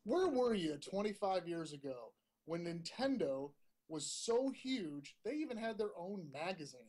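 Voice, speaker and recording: A man with a notably high voice co-hosts a tech news podcast. He delivers stories in a punchy, opinionated way and likes to put rhetorical questions to his listeners. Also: big pitch swings, measured pace, a little mellow. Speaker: podcast co-host. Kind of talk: casual tech news chat.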